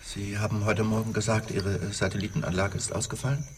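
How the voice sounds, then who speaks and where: An actor plays a stranded man, once a science editor, in a radio drama. His voice is fairly low and his manner sober and questioning. Soft ambient noise lies behind him. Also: flat delivery, a little fast, lightly acted. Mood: puzzled. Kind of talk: German radio drama acting. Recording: faint background ambience noise.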